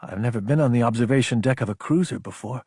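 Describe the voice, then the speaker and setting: Man voicing an eager young woman pilot, husky. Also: medium pitch, fast talker, dark tone, dry recording, studio quality. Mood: awed. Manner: insistent, wondering. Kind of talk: audiobook character dialogue.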